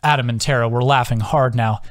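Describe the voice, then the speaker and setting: Casting: male author-narrator, mid-pitched voice, scripted fantasy storytelling, close and very dry. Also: fairly even intonation, fairly quick, no room sound, soft background music. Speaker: author reading own audiobook.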